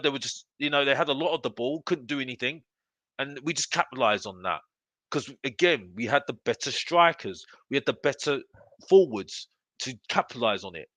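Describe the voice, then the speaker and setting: A man's voice: mid-range voice, sharp tone, no room sound. A man hosting a live football fan show, ranting in an emphatic, repetitive, exasperated way. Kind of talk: live opinionated fan rant to viewers.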